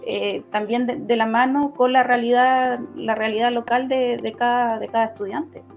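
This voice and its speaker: A woman with a Chilean accent giving explanatory answers in an online debate, halting and often restarting her phrases. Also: a little high, steady tone, creaky. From Los Ríos region, southern Chile. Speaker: political candidate and law lecturer. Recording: dull lo-fi online audio, music behind.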